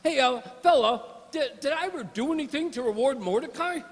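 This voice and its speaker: Man playing a high-pitched, slangy gangster boss. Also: expressive, a little fast. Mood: grumpy, commanding. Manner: old-time gangster slang, blustery. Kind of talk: amateur radio-play acting. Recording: reverberant hall with clear background noise.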